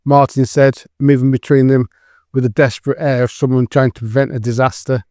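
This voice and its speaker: A man's voice: medium pitch, flat delivery, fairly quick, dark tone, dry recording, studio quality. A man reading scripted dramatic narration as the narrator of an audio drama.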